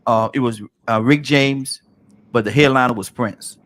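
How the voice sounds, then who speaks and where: A man hosting a call-in talk show, easygoing and chatty. His voice sits at an ordinary middle pitch, and he often stumbles and restarts his sentences. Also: normal speed, fairly bright tone. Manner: laid-back, stammering restarts, frequent "man". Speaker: radio show host. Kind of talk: casual call-in show chat.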